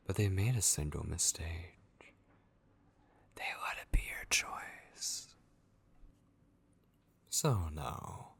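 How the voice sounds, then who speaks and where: Man playing a low, husky, possessive tormentor. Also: full character voice. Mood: grave, persuasive. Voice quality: husky, gravelly, often dropping to a whisper. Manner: slow, coaxing, mockingly tender. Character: sinister, possessive, taunting male voice haunting a captive vampire. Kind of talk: ASMR horror roleplay, intimate dramatic monologue.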